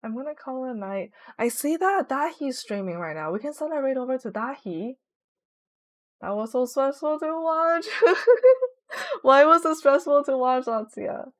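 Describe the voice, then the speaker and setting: A woman's voice: sits high, big pitch swings, moderate pace, a bit bright, no room sound. Chatty young woman streamer, rambling. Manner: chatty and rambling, with many "like" fillers. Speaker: Twitch streamer. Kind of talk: casual live-stream chat while gaming.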